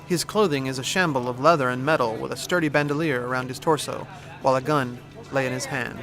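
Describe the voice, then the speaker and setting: A man's voice: mid-range voice, steady tone, quick pace. A man giving scripted, present-tense narration for an audio drama. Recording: music behind.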